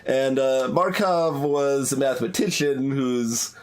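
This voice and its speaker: A man's voice: mid-range voice, slow. A man doing casual game commentary as a streamer, thinking aloud in a hesitant, analytical way. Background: game music.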